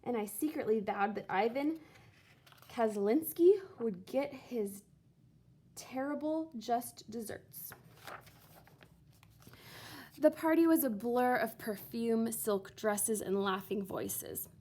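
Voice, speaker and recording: A woman with a high-pitched voice reads a children's story aloud. Her pace is slow and deliberate, and she gives phrases a dramatic, expressive emphasis. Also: husky. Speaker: teacher reading a picture book aloud.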